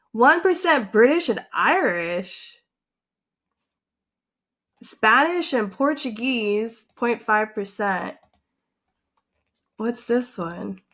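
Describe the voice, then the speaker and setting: A young woman vlogging casually, reacting in an animated, excitable way and filling her talk with likes and ums. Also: medium pitch. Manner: animated, excitable, filler-heavy with frequent likes.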